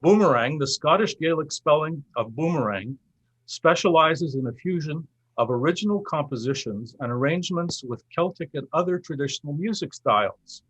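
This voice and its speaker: A man hosting a festival event, reading scripted thanks and announcements in a formal, measured, slightly husky voice. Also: fairly high pitch, animated, a bit bright, highs cut off. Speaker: host giving closing thanks at a festival event. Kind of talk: scripted closing remarks.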